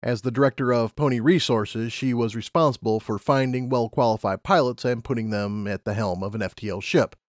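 A man's voice: medium pitch, a little fast, few pauses, no echo, clean studio sound. A man narrating a scripted story as an audiobook narrator.

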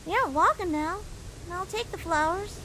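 A woman's voice: very high voice, normal speed, bright, forceful tone, a little hiss or hum. A woman voicing a sweet, curious little monster boy prince in a comic dub, innocent and playful. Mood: earnest, cheerful. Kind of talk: cartoon-like comic dub voice acting.

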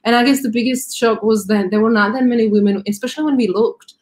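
A woman's voice: fairly high pitch, steady tone, fast, darkish tone. A woman from Slovakia with a Slovak accent, a friendly guest chatting on a video call. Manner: friendly, explaining with many "like" fillers. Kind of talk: casual interview chat. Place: home, video call on webcam.

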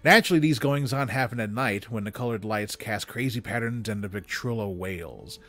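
A man giving an expressive literary reading of a story, with dramatic, deliberate phrasing. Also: lowish voice, no echo. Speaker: story reader. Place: small room with bookshelves, indoors. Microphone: close studio microphone on desk.

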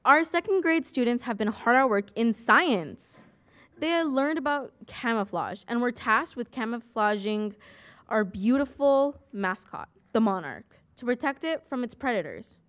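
A young student council president reading a prepared school report aloud at a desk microphone in a large meeting hall. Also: female voice, a little high, unhurried. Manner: reads aloud from a script, even and careful.

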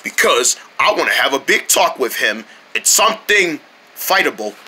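A performer voicing a grumpy, combative male owl who blusters, shouts and takes offence easily in a homemade cartoon. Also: medium pitch, very animated, normal speed, husky, exaggerated voice. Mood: irritated.

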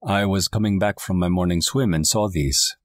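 Man as earnest island chief, low voice. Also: moderate pace, no room sound, clean studio sound, lightly acted. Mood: tender.

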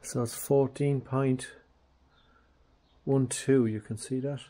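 Relaxed man talking through garage work. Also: medium pitch, takes it slowly. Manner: relaxed, thinking aloud while working, addresses viewers as guys. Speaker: restoration vlogger. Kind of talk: casual workshop how-to narration. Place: home garage workshop.

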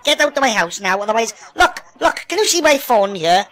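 Welsh man, high voice, very lively pitch, indignant scolding, sweary. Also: brisk, a little hiss or hum. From Wales. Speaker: gamer in online voice chat. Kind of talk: comedic in-game trolling rant.

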